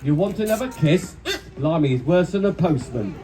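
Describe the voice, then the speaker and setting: A man voicing a bossy, cheeky puppet wife at a children's puppet show. He gives her a drawn-out, saucy, scolding way of talking. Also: fairly high pitch, expressive, over loud music, lightly acted. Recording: loud crowd and noise. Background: loud audience of children.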